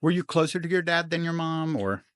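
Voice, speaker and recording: A man's voice: on the high side, lively intonation, fairly quick, fairly bright tone, no echo. Man interviewing, close dry sound. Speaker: podcast host, interviewer. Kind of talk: casual, informal interviewing.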